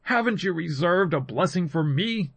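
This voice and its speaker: Man acting bitter pleading son, high-pitched. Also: lively intonation, moderate pace, gravelly, a bit bright, husky, dry recording, heavily acted. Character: wronged elder son, a hunter, bitter and pleading.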